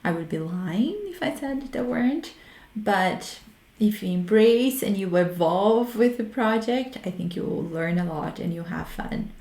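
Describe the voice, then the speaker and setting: A woman's voice: mid-range voice, expressive, dark tone. Brazilian-accented woman hosting, echoey room. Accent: Brazilian Portuguese. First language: Portuguese. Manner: reflective, frank, halting with restarts. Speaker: host. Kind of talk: solo talk on research methods. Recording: roomy echo.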